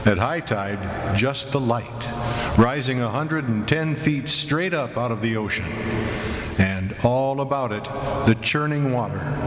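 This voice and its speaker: An actor playing a haunted lighthouse keeper, telling his tale in deliberate, brooding radio theatre narration. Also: medium pitch, close to an everyday voice. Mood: ominous, brooding. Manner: deliberate, brooding, savoring vivid descriptions. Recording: roomy hall, clear background noise.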